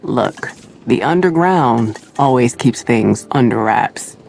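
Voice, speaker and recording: A woman's voice: extremely low pitch, expressive, slow pace, vocal fry, a little mellow, a little hiss or hum, full character voice. An actress playing a shrewd female underground ally in a video game, with a laid-back, knowing, teasing manner.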